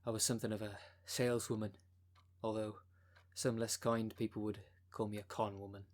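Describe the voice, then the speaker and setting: A man playing a smooth-talking, con-artist female minister of a demon cult in an ASMR roleplay, with a polished, unctuous sales manner. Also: on the low side, little pitch movement, brisk, lightly acted. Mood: self-satisfied. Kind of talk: ASMR roleplay audio drama.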